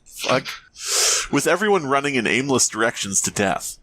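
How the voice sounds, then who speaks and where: Animated man riffing on a comedy podcast. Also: mid-range voice, normal speed, a little hiss or hum. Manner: expressive, riffing, often breaking off into asides. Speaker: podcast co-host. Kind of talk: casual comedic banter and mock-dramatic fan fiction reading.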